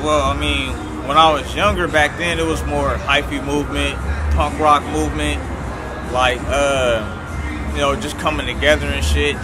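A young man, a rapper from Northern California, talking in laid-back street slang in a casual outdoor interview at night. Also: mid-range voice. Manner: laid-back street slang, emphatic when making a point. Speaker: rapper guest. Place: outdoors at night in front of a storefront. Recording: loud street noise outdoors. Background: traffic noise.

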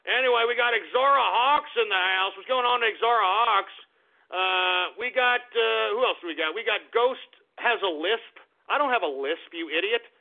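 A man's voice: high-pitched, normal speed. Ranting, foul-mouthed man on tinny audio. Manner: loud, profane, exasperated ranting with sudden shouted outbursts. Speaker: radio show host. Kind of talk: ranting internet talk radio, reading out viewer shout-outs.

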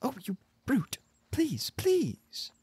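Furious small wife for an audiobook, acted by a man: shrill, breathy, indignant, reproachful. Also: wildly swooping pitch, drawn-out words, a little mellow, dry recording, exaggerated voice. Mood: wailing, furious. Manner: screaming, wailing, reproachful.

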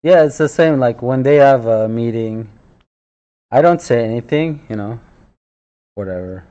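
Slow-talking man streaming with a headset. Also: mid-range voice. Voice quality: loud voice. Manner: relaxed, chuckling asides. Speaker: live streamer. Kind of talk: casual chat while drawing on stream. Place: small office room, indoors. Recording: dull lo-fi stream audio.

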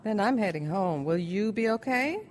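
Actress as a curious cousin, animated, reverberant. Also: female voice, medium pitch, moderate pace, lightly acted. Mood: caring. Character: caring, curious female cousin and confidante. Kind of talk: radio drama acting. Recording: reverberant radio drama.

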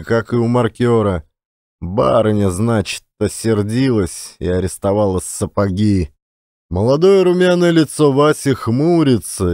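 Expressive male audiobook narrator, very animated pitch. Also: dry recording, studio quality. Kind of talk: expressive literary storytelling.

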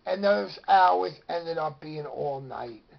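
Gravelly, slow-talking older man reminiscing. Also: a little high, lively intonation, muffled recording. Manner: rambling storytelling. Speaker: vlogger. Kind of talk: casual reminiscing chat. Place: small room indoors, in an armchair.

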